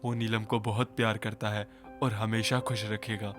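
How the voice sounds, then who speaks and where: A man's voice: fairly low pitch, level tone, normal speed, music in the background, lightly acted. A man voicing a modest, honourable young uncle in a cartoon story, earnest and level. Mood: earnest, regretful. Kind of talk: Hindi cartoon story voice acting.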